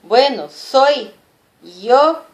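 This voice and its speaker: A woman's voice: high voice, lively intonation, very slow. A woman with a Brazilian accent, a native Portuguese speaker, teaching an informal language lesson, repeating and stressing key words and often checking in with short questions.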